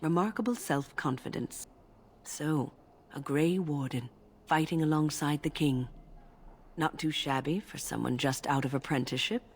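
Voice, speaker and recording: Low-voiced, wise older mage. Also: female voice, slight background noise. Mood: gently teasing. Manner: measured, scholarly, gently teasing. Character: older female mage, wise, composed, gently wry. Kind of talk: video game dialogue.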